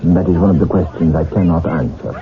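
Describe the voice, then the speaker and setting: An actor playing an urbane, evasive professor in a 1970s radio drama, speaking formally with deliberate pauses and a patronizing polish. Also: male voice, sits low, music in the background. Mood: guarded, firm. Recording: tinny, phone-like old radio broadcast.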